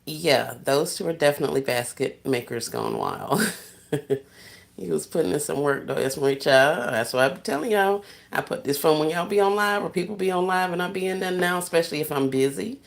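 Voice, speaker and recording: A soft-spoken woman, chatting casually with viewers on a livestream while she works on gift baskets. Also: low-pitched, expressive, fairly quick, creaky. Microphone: phone set down while working.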